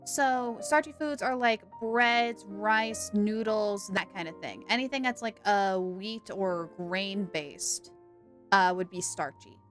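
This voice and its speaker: A woman's voice: mid-range voice, slow pace, fairly bright tone, faint music behind. A woman live streamer giving casual, chatty commentary on a video game, thinking aloud and repeating herself.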